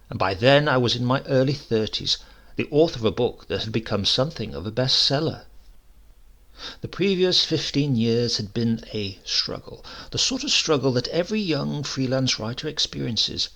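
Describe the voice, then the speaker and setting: A male storyteller reads humorous fiction with dry, measured wryness. His voice is heavily creaky and husky, and his intonation swings in an animated way. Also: mid-range voice. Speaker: story reader. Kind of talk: dramatic narration of a humorous short story.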